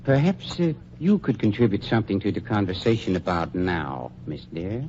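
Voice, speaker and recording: Man voicing a sinister undertaker, low voice, dark and mellow, silky menace, muffled old radio sound. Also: animated, moderate pace, a little hiss or hum, lightly acted. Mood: coldly amused. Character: suave sinister middle-aged male funeral director. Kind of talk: 1940s radio acting. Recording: muffled 1940s radio recording.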